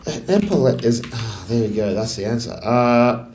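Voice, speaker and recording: A man thinks aloud in a casual, musing, self-questioning way as he solves puzzles, his voice sitting deeper than usual. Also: moderate pace, darkish tone, slight background noise. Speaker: crossword solver and video host. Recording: echoey room.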